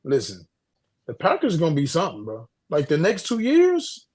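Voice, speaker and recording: An American man with a raspy, slightly high voice co-hosts a sports chat. He is slangy and emphatic, circling back to the same phrase to drive it home. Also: wildly swooping pitch, normal speed, darkish tone. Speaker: podcast co-host.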